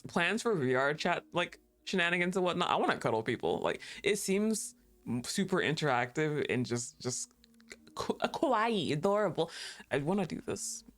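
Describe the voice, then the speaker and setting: A young woman with a low, dark-toned voice chats through a game stream. She is playful, laughs a lot and swears freely. Her talk is loose and casual. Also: big pitch swings, normal speed.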